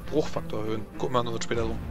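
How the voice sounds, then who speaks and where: A man running a tabletop combat as game master, talking casually and a bit haltingly as he narrates. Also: mid-range voice, fairly even intonation. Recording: music bed behind voice. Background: background music.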